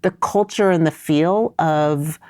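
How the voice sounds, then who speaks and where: A woman's voice: deep voice, slow, mellow, dry recording, clean studio sound. A middle-aged woman raised in New York, answering interview questions in a thoughtful, winding storytelling way. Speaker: podcast guest.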